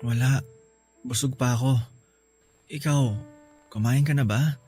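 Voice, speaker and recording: A man with a Filipino accent playing a gentle, grieving, reassuring husband in an emotional radio drama. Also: medium pitch, steady tone, measured pace, faint music behind, natural voice. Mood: weak, caring. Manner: tender, reassuring. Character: gentle, consoling husband grieving a lost baby.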